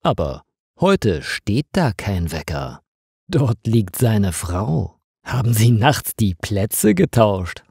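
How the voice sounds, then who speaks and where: A man narrating a scripted story for language learners in measured, deliberate phrases. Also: lowish voice, very animated, gravelly, darkish tone, slightly rough, dry recording, clean studio sound.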